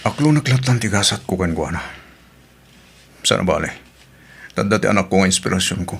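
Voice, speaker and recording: Deep-voiced, wounded husband. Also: male voice, animated, fairly quick, creaky, slight background noise. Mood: resigned. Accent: Filipino. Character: betrayed husband, wounded but resigned. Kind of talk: audio drama acting.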